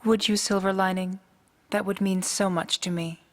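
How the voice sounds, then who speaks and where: A woman acting a stern, weary, duty-bound pony princess in a radio drama, speaking formally and gravely. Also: medium pitch, steady tone, normal speed, no echo, clean studio sound, natural voice. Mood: weary, regretful.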